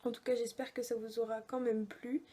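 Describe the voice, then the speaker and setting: A young woman chatting in a hushed, often half-whispered voice, hesitant and full of fillers, in a casual book vlog. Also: on the high side, little pitch movement, fairly quick, reverberant. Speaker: book vlogger. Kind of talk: casual reading-challenge vlog diary. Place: at home at night.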